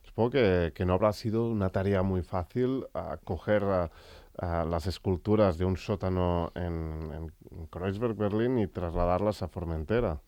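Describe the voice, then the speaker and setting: A man interviewing a guest, asking questions in a measured way and sometimes searching for words. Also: sits low, darkish tone, no echo.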